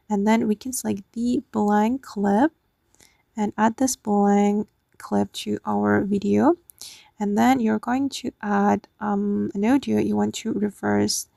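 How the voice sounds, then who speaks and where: A woman's voice: mid-range voice, gravelly, dark tone, dry recording, clean studio sound. A woman hosting a casual step-by-step app tutorial, explaining it off the cuff in a slightly hesitant way. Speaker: tutorial host.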